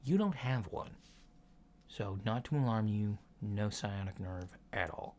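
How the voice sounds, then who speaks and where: A soft-spoken man doing an ASMR roleplay as a calm, hesitant alien doctor, matter-of-fact and clinical. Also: lowish voice, unhurried. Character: calm, mildly awkward alien doctor giving a checkup. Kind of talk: ASMR medical checkup roleplay. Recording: lo-fi home recording with background noise.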